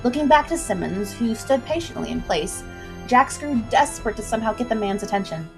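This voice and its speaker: A woman storyteller reading a scripted adventure tale in an expressive, suspenseful manner, with music behind her. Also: fairly high pitch, fairly quick, low-quality recording. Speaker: storyteller, livestream host. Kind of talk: dramatic scripted story narration.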